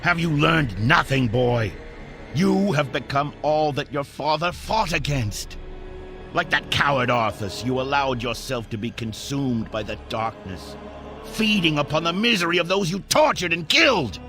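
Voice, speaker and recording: A man's voice: a little high, expressive, measured pace, soft background music. A man playing a stern, scornful old paladin who scolds in a proud, declamatory way. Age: older.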